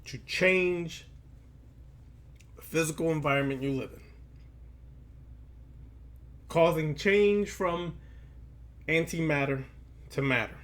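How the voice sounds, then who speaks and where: A man with a somewhat high voice delivers an occult lecture at a very slow pace. He breaks his thoughts into short, halting phrases with long pauses between them. Key lines come back again for emphasis, as a preacher would do. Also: a bit bright. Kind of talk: esoteric lecture, preaching to listeners.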